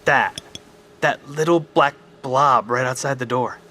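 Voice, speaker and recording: An actor playing a curious, persuasive survivor in an audio drama, speaking earnestly and urgently. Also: male voice, medium pitch, measured pace, bright, forceful tone, slight background noise.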